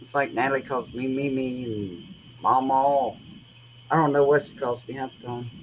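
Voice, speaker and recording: A woman's voice: very deep voice, normal speed, slight background noise, rough recording. A middle-aged grandmother chatting casually, with homey, rambling talk and folksy grammar. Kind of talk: casual chat.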